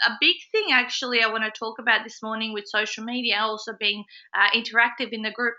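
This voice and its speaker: A bright, sharp-toned woman with a fairly high voice talks casually to members on a live call, filling her sentences with ums and uhs. She has a slight cold that makes her a bit nasal, and the call audio is dull and lo-fi. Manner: chatty, with many ums and uhs. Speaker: host of a business support group call. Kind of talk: casual webinar talk to members.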